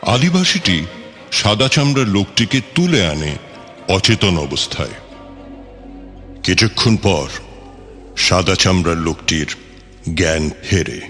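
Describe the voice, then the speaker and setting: A man's voice: low-pitched, expressive, slow, long pauses, a bit bright. A man narrating a radio play from a script in a dramatic storytelling style. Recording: long reverb, music bed.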